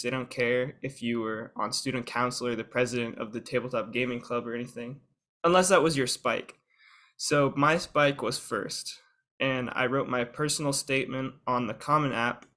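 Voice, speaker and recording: A man's voice: medium pitch, sharp tone. A young man, a student guest, giving a prepared, organized explanatory talk on a video call. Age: young adult.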